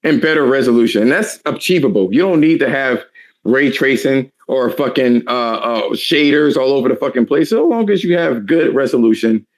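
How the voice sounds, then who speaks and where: A man in his thirties with a raspy, tired voice, ranting profanely in a heated casual chat. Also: medium pitch, lively intonation, moderate pace. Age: 30s. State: tired, hoarse voice.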